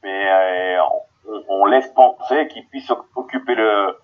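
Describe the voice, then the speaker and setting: Low-voiced man on a lo-fi call. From Paris, France. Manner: halting, many restarts and fillers. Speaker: football guest. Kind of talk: podcast interview answers. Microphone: remote call.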